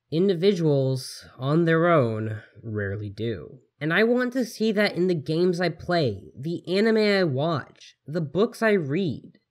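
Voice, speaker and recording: A video essayist reading a scripted game review in an earnest, articulate, carefully phrased way. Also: mid-range voice.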